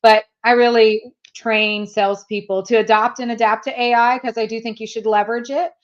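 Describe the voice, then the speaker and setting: A woman's voice: fairly high pitch, level tone, a little fast, muffled recording. A woman in her fifties, a native German speaker, chatting candidly as a sales trainer guest and laughing often. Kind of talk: casual interview chat.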